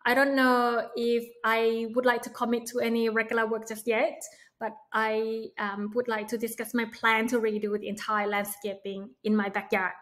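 A woman presents a product in a friendly, promotional way. Her voice sits a little high. She sounds like a company presenter recording herself at home. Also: level tone, moderate pace, fairly bright tone. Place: indoor home room.

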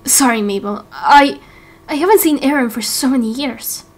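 A woman voicing an excitable, caring young sailor in a game roleplay, speaking earnestly and emphatically. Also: sits high, normal speed. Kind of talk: Minecraft roleplay voice acting.

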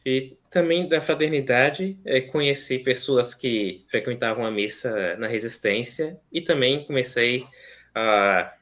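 A man's voice: medium pitch, a little slow. A young man in his twenties from São Paulo with a São Paulo accent, hesitantly telling his story on a video call from a small plain room. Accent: São Paulo Portuguese. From São Paulo, Brazil. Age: 20s. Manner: soft-spoken, hesitant with many fillers. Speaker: seminarian guest. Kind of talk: casual interview, recounting his story. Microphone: video call webcam.

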